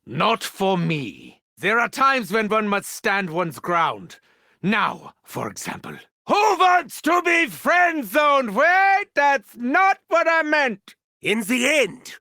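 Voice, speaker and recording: An actor playing a gleefully sadistic German mad scientist villain in a video game, theatrical and grandiose, with a German accent and mocking, formal phrasing. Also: male voice, high-pitched, big pitch swings, slow pace, vocal fry, bright, forceful tone, no room sound, clean studio sound, exaggerated voice. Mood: manic, gleeful. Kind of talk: video game character voice lines.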